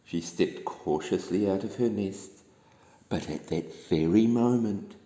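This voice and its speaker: A man with a New Zealand accent reads a children's bedtime story aloud in an expressive, dramatic storytelling manner. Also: sits low, unhurried. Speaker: storybook reader. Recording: echoey room with long reverb.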